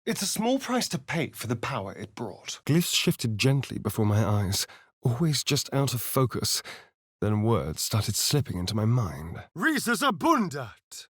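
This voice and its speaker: A man's voice: mid-range voice, very animated, a little slow, a bit bright, husky, no echo, studio quality, full character voice. A man voicing a suave, sardonic vampire rogue in a fantasy video game, with an arch, theatrical, dramatic delivery. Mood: incanting, intense.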